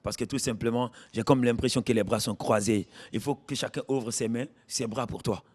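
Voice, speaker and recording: A man's voice: mid-range voice, steady tone, a little fast, a little mellow. Declamatory Guinean male TV host. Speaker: TV show host. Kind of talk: interviewing a music artist on a TV show. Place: at the artist's home.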